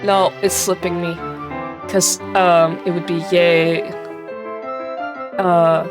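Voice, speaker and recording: A woman's voice: on the low side, little pitch movement, slow. A woman reading an interactive gamebook aloud, breaking off into chatty, irreverent asides where she swears and laughs at herself. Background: background music.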